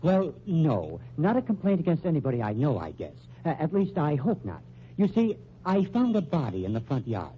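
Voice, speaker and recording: A man's voice: fairly high pitch, wildly swooping pitch, moderate pace, slight background noise. An actor playing a timid, fussy, formal married man who speaks hesitantly in an old radio drama. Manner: hesitant, fussy, formal, spells out his name. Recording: muffled, humming old radio recording.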